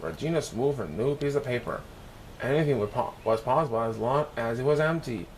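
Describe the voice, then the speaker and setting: A man's voice: mid-range voice, expressive, fairly quick, a little hiss or hum, rough recording. A man narrating a children's picture book as a read-aloud storyteller.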